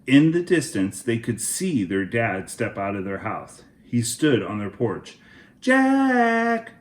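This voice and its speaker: Male children's book narrator, lowish voice, deliberate and very animated, roomy echo. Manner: deliberate, expressive.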